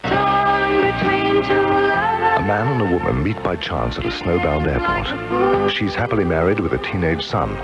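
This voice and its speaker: A man's voice: sits low, huge pitch swings, moderate pace, long pauses, loud music behind the voice. A man reading a scripted, dramatic promo as an announcer. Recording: muffled old radio broadcast tape.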